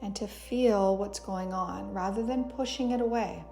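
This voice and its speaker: A woman guiding a yoga meditation in a soothing, gentle, unhurried way, as a teacher giving calm instructions. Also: medium pitch, gravelly, faint music behind. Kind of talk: guided meditation narration.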